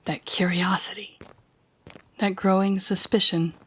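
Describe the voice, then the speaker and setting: A woman playing a smug, controlling telepathic villain in an audio roleplay, taunting the listener in a deliberate, condescending manner. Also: mid-range voice, level tone, fairly bright tone, husky.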